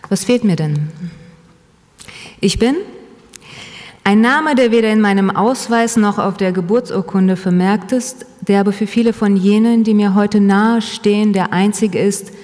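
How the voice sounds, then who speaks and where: A woman's voice: mid-range voice, a little slow, darkish tone. A woman whose first language is Russian reads her own essay aloud in a reflective manner, with asides to a live audience. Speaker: author giving a talk. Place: large hall with a live audience. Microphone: table microphone, seated at a panel table. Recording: long hall reverb.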